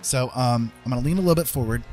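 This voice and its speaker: A man's voice: mid-range voice, rapid-fire, a little mellow, faint music behind. A man casually streaming a digital painting tutorial, rambling and thinking aloud as he works.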